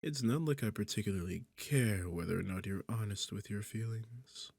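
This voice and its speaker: A man's voice: on the low side, moderate pace, creaky, a little mellow, slightly rough, no room sound. A man playing an arrogant, sarcastic demon king in a seductive fantasy ASMR roleplay, with a smug, teasing, condescending manner. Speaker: audio roleplay performer.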